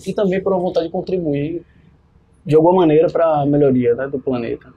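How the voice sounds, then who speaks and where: A man's voice: on the high side, fairly even intonation, quick pace, dark tone, slightly rough, lo-fi sound. A man with a Bahian Brazilian accent, speaking conversationally as a podcast guest about his own experience. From Salvador, Bahia, Brazil. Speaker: podcast guest, biology professor and researcher. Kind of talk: conversational account of own career.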